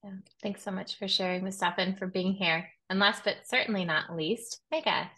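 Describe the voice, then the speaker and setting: A woman in her thirties hosting an online panel, introducing the guests and asking them questions in a measured way over a video call. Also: mid-range voice, fairly even intonation, bright, forceful tone, slightly rough. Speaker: host, panel moderator. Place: home room. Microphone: video call with earbuds.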